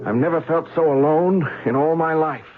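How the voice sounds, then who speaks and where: A man's voice: fairly high pitch, normal speed, close to an everyday voice. An actor playing an earnest, lovestruck and bewildered man in a radio drama, emotional and incredulous. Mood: awed, desolate. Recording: narrow-band old radio, loud noise.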